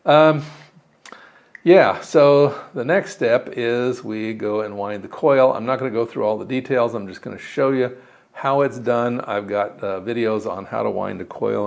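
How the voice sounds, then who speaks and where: Man, low gravelly creaky voice, casual how-to explainer, halting, thinking aloud. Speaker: DIY electronics video maker. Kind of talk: casual hands-on tutorial.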